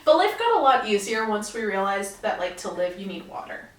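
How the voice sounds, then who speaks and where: A young woman chats with a friend, laughing easily and talking in loose, rambling bursts. Her voice sits at a medium pitch and sounds a little distant from the mic, with noticeable room echo around it. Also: big pitch swings, fairly quick. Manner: chatty, laughs often. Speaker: podcast co-host. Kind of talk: casual chat.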